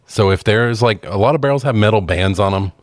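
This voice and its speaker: A man with a deep voice and a very dry, close sound. He sounds like a podcast co-host in casual film discussion, often putting in short agreeing remarks. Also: fairly quick, no room sound. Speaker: podcast co-host reviewing films. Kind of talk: casual conversation, film commentary.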